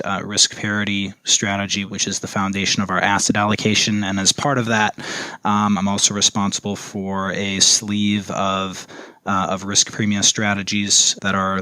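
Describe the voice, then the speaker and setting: Low bright-toned hesitant man. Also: no room sound. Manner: hesitant, restarts phrases, many "uh" fillers. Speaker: portfolio manager, guest. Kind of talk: podcast interview.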